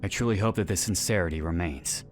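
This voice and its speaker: A man reading a scripted video-essay narration in a measured, earnest and solemn way. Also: sits low. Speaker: narrator of a series analysis video. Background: background music.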